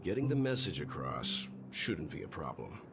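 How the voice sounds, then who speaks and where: A stoic game hero of mature years. The voice is extremely deep, grave and deliberate, with a calm readiness to sacrifice himself. Clear ambient noise sits behind it. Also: male voice, exaggerated voice. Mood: calm resolve. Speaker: video game character voice actor. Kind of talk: dramatic game dialogue.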